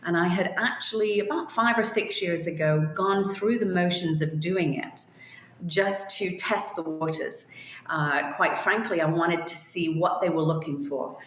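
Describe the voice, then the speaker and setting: An older woman from Liverpool with a softened English accent, a business owner talking through her career in an interview, measured and thoughtful. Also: fairly low pitch. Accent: softened English, Liverpool roots. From Liverpool, England. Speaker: optical store owner, guest. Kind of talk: remote interview conversation. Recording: roomy echo.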